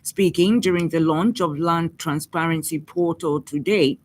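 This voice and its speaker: Zambian woman reading radio news. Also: fairly low pitch. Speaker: news presenter.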